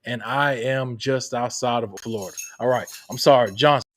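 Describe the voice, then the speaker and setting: A man's voice: mid-range voice, moderate pace, dry recording. A man hosting a casual interview over a video call from home, swearing freely, apologizing, and joking around. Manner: profane, apologetic, loose and joking. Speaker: host. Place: home setup in Florida.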